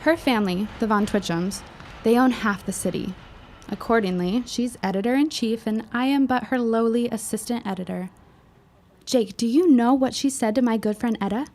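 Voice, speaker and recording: An actress playing a witty, eloquent high school girl with a precise, wry manner in a period audio drama. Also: moderate pace, a little mellow, lightly acted. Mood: wry disdain.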